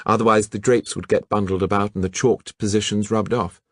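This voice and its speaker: A man putting on a composed woman painter in charge, raised well above his usual pitch and a touch louder. She sounds firm and reasonable, read aloud on a dry close track. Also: steady tone, a little fast, no echo.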